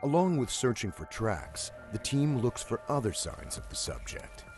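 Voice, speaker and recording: A man reading scripted documentary narration in a measured, storytelling way. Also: medium pitch, very animated, a little mellow. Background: music.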